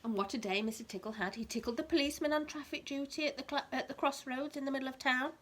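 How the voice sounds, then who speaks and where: A woman's voice: sits high, fast. A woman reading a storybook expressively to a small child, explaining things in simple words as a teacher would. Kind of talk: animated storybook read-aloud with asides explaining words.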